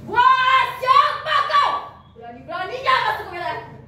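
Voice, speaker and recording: A girl playing a big angry storybook dragon, booming and snarling, up high in a very echoey hall heard from far off with noise around. The growls bounce all over the room. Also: very animated, normal speed, heavily acted. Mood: furious. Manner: loud, threatening, snarling. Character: huge angry male dragon guarding forest.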